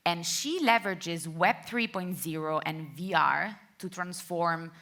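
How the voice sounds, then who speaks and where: Low-voiced, bright Italian woman moderator. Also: measured pace. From Italy. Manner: friendly, with light laughs and fillers. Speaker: panel moderator. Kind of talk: conference panel moderation. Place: conference stage. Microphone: handheld microphone.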